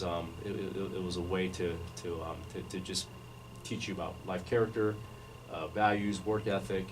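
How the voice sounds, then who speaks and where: Low, flat-voiced man in a radio studio. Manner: measured, many restarts and fillers. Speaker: interview guest. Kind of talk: relaxed life-story interview. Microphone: studio microphone, recorded from afar. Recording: distant mic with background noise.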